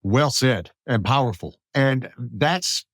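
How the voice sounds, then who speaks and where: Halting male podcast host, dry sound. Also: medium pitch, expressive, fairly bright tone, no room sound. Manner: halting, repeats words as he searches for phrasing. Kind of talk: conversational political interview.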